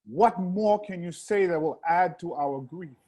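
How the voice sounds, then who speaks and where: An actor playing a troubled chorus leader who asks solemn, measured questions in a reading held over a video call. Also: male voice, high-pitched, mellow.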